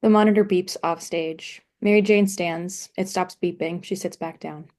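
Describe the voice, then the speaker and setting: A woman's voice: lowish voice, fairly even intonation, moderate pace, a little mellow. A woman reading stage directions at a play table read in a matter-of-fact, scripted narration style.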